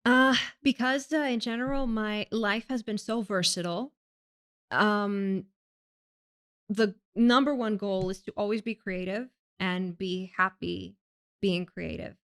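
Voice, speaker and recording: Woman, from Greece, podcast guest, smooth voice, casual, chatty. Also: mid-range voice, steady tone, a little slow, fairly bright tone. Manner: chatty, laughs often, many ums. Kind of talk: casual interview chat.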